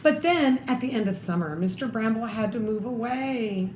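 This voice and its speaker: A woman reading a children's picture book aloud expressively, pausing to add her own comments. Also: fairly high pitch, animated, darkish tone. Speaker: storybook reader. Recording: echoey room with faint background noise.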